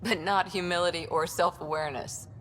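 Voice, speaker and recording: A tough female soldier from a video game, played by a woman, low in pitch and blunt. She talks with a wry edge, in a space with long reverb. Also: fairly even intonation, fairly quick, a bit bright, a little hiss or hum, close to an everyday voice. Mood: sardonic. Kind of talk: video game cutscene acting.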